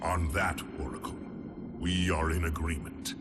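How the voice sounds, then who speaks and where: A commanding alien warrior of deep faith. His voice is very low, formal and solemn, measured like a leader's, with loud cinematic music behind it. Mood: grave, commanding. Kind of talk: video game cinematic acting.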